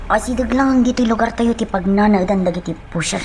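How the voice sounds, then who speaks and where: A woman playing a worried young working woman who confides haltingly in friends, in an Ilocano radio drama. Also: mid-range voice, a little mellow, slight background noise, lightly acted. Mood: anxious confiding. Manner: hesitant, halting.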